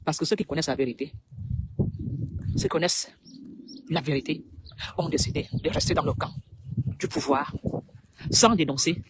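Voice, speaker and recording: A woman with a Cameroonian French accent making an impassioned public appeal, speaking emphatically in short phrases with dramatic pauses. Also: low-pitched, slight background noise.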